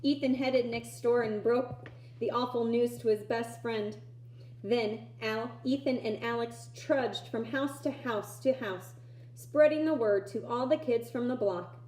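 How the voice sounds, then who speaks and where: A woman reading a children's picture book aloud in an expressive storytelling style. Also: fairly high pitch, a little slow. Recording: echoey room.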